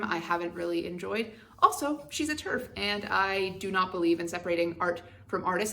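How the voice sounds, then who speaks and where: A woman's voice: fairly low pitch, a bit bright. A young adult woman hosting a book channel, talking through a book tag in a candid, opinionated and wry way. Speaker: BookTube channel host. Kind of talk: casual book tag vlog.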